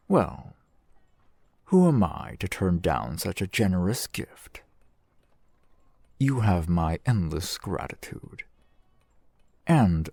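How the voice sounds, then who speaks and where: A man's voice: low-pitched, gravelly, darkish tone, slightly rough, no room sound, full character voice. A man playing a courteous, shrewd fantasy shopkeeper in an ASMR roleplay, with formal, eloquent merchant patter. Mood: delighted, grateful. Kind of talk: ASMR fantasy roleplay, softly acted one-sided dialogue.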